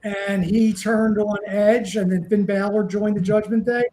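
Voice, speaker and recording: A man's voice: sits high, level tone, a little slow, mellow. A man of about seventy co-hosting a casual chat podcast, wry and dry in his delivery. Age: 60s. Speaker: podcast co-host. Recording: roomy echo.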